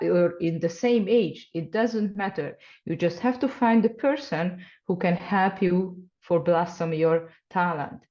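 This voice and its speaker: A woman with a Hungarian accent talks earnestly over a video call, now and then pausing to find the right English word. Her voice sits fairly low, and the call audio is dull and lo-fi. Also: warm tone. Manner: earnest, searching for words in English. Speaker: guest scientist, associate professor. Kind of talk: casual interview answers.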